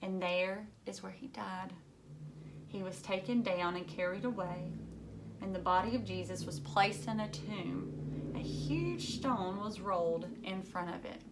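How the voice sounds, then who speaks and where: A woman's voice: mid-range voice, unhurried, background noise. Woman reading a picture book to children. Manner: explains simply, addressing young listeners directly. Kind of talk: read-aloud storybook narration with chatty asides.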